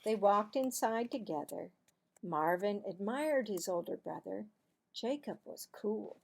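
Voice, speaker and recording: A woman narrating a children's storybook aloud in an expressive, deliberate manner. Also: mid-range voice, creaky, slightly rough. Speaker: teacher-style read-aloud narrator.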